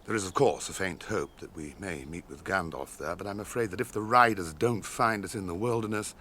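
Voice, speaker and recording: An actor in a radio drama playing a grave, wary, weathered ranger guide who speaks in a measured, formal way. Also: male voice, deep voice, sharp tone, a little hiss or hum.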